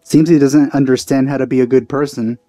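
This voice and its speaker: A man's voice: medium pitch, fairly even intonation, fairly quick, dark tone, faint music behind. A man doing casual let's play commentary, dropping dry, wry asides close to the microphone.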